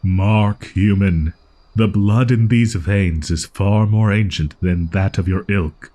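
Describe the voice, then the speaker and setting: A man's voice: low-pitched, takes it slowly, warm tone. A man voicing a proud, easily flustered young wolf-boy in an audio roleplay, speaking in haughty, archaic, formal phrasing. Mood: proud, scornful.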